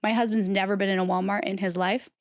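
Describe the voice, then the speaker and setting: A woman's voice: medium pitch, flat delivery, fast talker, dry recording, clean studio sound. A woman in her thirties co-hosting a podcast, chatting casually and laughing often, her throat still phlegmy from a cold. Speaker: podcast co-host. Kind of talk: casual chat.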